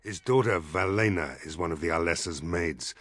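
An actor playing a gruff, weary, skeptical village mayor in a fantasy video game, blunt and grumbling, with a husky voice. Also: male voice, deep voice, moderate pace, a bit bright. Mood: worried, exasperated. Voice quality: gravelly, husky.

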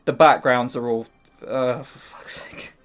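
A man's voice: mid-range voice, fairly even intonation, measured pace, a bit bright, soft background music. A man reading a visual novel aloud and mocking it with sarcastic comments, as a let's play host.

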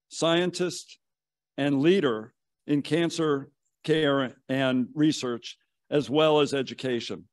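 A man in his sixties gives formal, prepared welcome remarks as a college dean, speaking in a measured way from notes into a podium microphone. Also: a little high, dry recording. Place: conference hall stage at a podium, indoors. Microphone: podium gooseneck microphone.

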